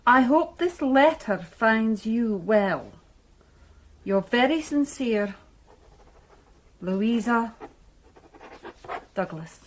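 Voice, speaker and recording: A woman playing a formal Victorian letter writer in a radio drama, reading in a deliberate manner. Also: fairly high pitch, expressive, full character voice. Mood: reserved, pointed.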